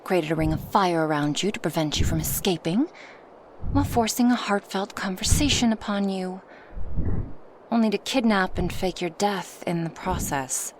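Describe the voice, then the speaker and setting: A woman's voice: fairly low pitch, normal speed, a little hiss or hum, lightly acted. A woman voicing a huge, proud dragoness in an ASMR roleplay, speaking formally to her human, teasing yet protective, at times whispery. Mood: teasing, reassuring. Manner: slightly formal phrasing, teasing, reassuring. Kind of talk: ASMR roleplay monologue addressed to the listener.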